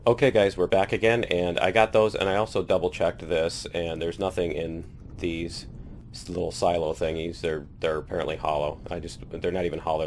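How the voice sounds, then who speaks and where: A man with a very low voice, a bit under the weather with a cold, talks his way through a video game in a relaxed, chatty way. He pokes fun at himself as he plays. Steady hiss and game noise sit behind his voice. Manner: chatty, self-deprecating, laughs at own mistakes. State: has a cold. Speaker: gaming YouTuber. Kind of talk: casual let's-play commentary.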